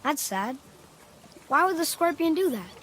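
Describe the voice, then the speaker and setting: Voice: high-pitched, sing-song, measured pace, fairly bright tone, slight background noise, heavily acted. An actor playing a curious, eager young boy in a video game, talking in an earnest, inquisitive, casual way. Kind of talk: dramatic video game acting.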